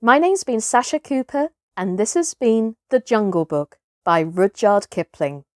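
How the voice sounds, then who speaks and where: A woman reading a children's storybook aloud as host, in an expressive, theatrical narrating style. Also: on the high side, unhurried, no room sound, clean studio sound.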